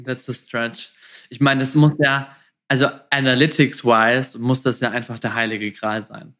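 Casting: male podcast host, fairly high voice, informal, peppers questions with English phrases. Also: little pitch movement, measured pace, fairly bright tone. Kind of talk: casual business interview.

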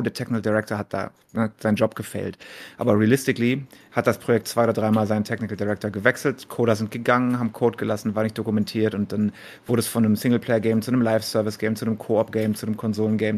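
A man co-hosting a podcast, discussing analytically and casually, heavily mixing English industry jargon into his German. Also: lowish voice. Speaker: podcast co-host.

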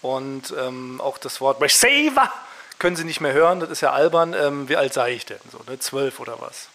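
A man's voice: medium pitch, lively intonation, normal speed, sharp tone. A man co-hosting a podcast in casual comedic banter, with a playful, mock-serious way of talking. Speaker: podcast co-host.

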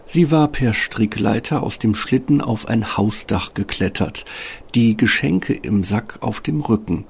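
Slightly creaky male fairy-tale narrator. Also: fairly low pitch, measured pace.

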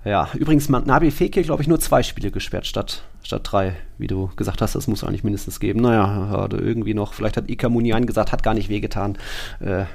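A man's voice: fairly low pitch, fast, creaky. A man co-hosting a football podcast, recapping matches in a conversational, colloquial way. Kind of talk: casual football recap chat.

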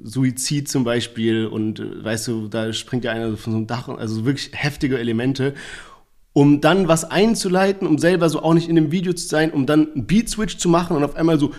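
Man, podcast co-host, casual rap chat, loose and rambling, middle pitch. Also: brisk, a little mellow. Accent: Palatinate German. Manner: loose, filler-heavy, hedging.

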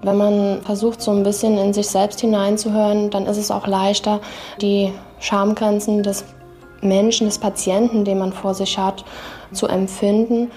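A woman's voice: mid-range voice, level tone, normal speed, warm tone, soft background music. A woman explaining something as an interviewee in a short clip.

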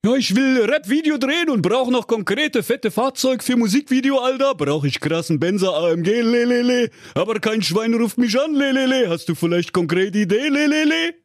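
A man's voice: very high-pitched, animated, moderate pace, few pauses, no echo, clean studio sound, pitched above the performer's own voice. A man voicing a grumpy young rapper who talks in broken street slang with a sing-song filler. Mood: grumbling.

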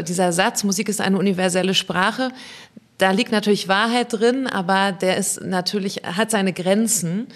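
A woman on a discussion panel, reflecting on music and how people learn it. She talks thoughtfully, with occasional fillers. Her voice sits at a medium pitch. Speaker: music education guest.

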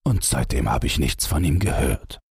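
A man's voice: very deep voice, sing-song, normal speed, gravelly, husky, no room sound, clean studio sound, heavily acted. A man voicing an old peasant farmer who is weary and stern.

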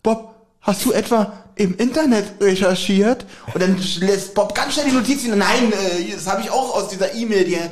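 A man's voice: high-pitched, normal speed. A young man co-hosting a casual podcast, joking as he recaps a radio play and briefly acts out its characters. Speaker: podcast co-host.

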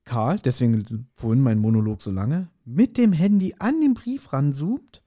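A man co-hosting a podcast, casually discussing and speculating at length with pauses and self-corrections. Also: mid-range voice, lively intonation, dark tone. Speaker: podcast co-host. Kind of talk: casual audio drama discussion.

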